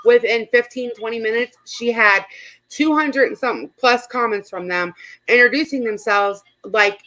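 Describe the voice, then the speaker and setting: A woman's voice: a little high, normal speed, sharp tone, poor audio. A woman hosting a live stream with casual reaction commentary, rambling and emphatic, often repeating herself.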